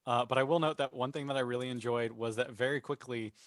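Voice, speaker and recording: A man with a mid-pitched voice and a dry, roomless sound chats as a podcast panelist. He is informal and emphatic, often saying a point twice. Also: steady tone, quick pace, no echo. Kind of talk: casual opinionated chat.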